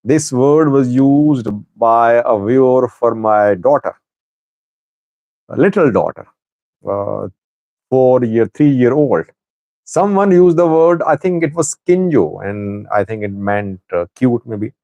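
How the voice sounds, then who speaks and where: A male news-channel host, a native Urdu speaker, talks slowly and haltingly through a live chat. His voice sits at a middling pitch. The sound is dry and close, with no room echo. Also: expressive, darkish tone, dull audio. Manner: unhurried, halting with many fillers. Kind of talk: live-stream chat, greeting viewers.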